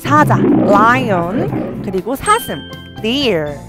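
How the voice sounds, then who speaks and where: A young woman teaching children English from a storybook, reading each line slowly and clearly and then explaining it. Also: medium pitch, sing-song, over music. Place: studio with a green screen.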